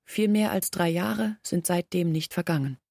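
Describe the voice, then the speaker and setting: An actress playing an earnest, reflective village woman telling her family's past in a measured way, in a literary radio drama. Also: on the low side, vocal fry, a little mellow, no room sound, clean studio sound. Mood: insistent, recounting.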